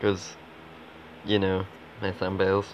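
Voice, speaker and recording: A man chats casually while streaming a game, taking his time with each phrase. His talk comes in low-key fragments and mumbled asides. The audio is dull and lo-fi, with some noise behind him. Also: completely flat delivery, takes it slowly. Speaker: gaming streamer. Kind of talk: casual live gameplay commentary. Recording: dull lo-fi audio, noisy background.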